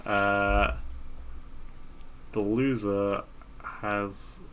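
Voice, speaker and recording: Lowish-voiced man in casual podcast banter. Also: flat delivery, extremely slow, fairly bright tone, a little hiss or hum, rough recording. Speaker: podcast co-host. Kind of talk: casual banter and reading a story aloud.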